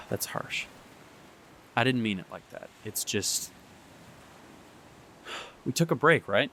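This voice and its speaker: A man's voice: fairly low pitch, very animated, slow pace, gravelly, bright, forceful tone, a little hiss or hum. Hesitant young man, sighing and pleading. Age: young adult. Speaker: monologue performer. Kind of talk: radio drama monologue. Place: beside the sea.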